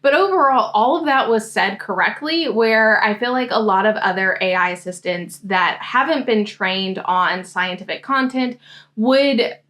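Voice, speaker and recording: A woman coaching grad students, giving a friendly software tutorial walkthrough. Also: mid-range voice, measured pace. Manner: friendly, explanatory screen-demo talk. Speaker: science grad school coach.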